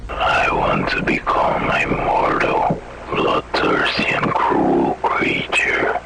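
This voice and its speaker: An actor playing a vengeful, tormented boy who craves monstrous power, in a breathy whisper for an analog horror cartoon. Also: very slow. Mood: menacing, vengeful. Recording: very noisy with clicks.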